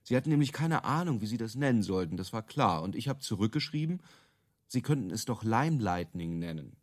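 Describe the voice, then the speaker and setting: Wry self-assured inventor guy in a radio play interview, lowish voice, really animated pitch. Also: a little fast. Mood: amused storytelling. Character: cynical, self-assured male inventor of useless product features being interviewed. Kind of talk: conversational radio drama acting.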